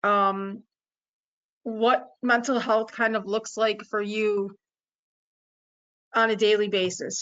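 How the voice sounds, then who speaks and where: A woman's voice: mid-range voice, a bit bright, dull audio. A woman facilitating a panel discussion in a loose, easygoing way, with lots of "kinda" and "um". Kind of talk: moderating a panel.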